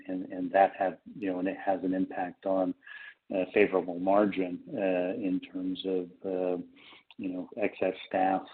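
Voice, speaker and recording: Give me a low-voiced male executive speaking haltingly on a muffled conference line. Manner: halting, hesitant, broken phrasing. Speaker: company executive on an earnings call. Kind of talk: unscripted business question-and-answer. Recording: muffled, band-limited conference call audio.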